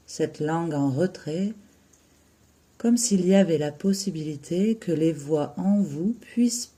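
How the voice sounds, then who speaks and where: A yoga nidra teacher guiding a relaxation in a soothing, unhurried way, with long pauses between short phrases. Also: mid-range voice, dark tone. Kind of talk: slow guided relaxation meditation.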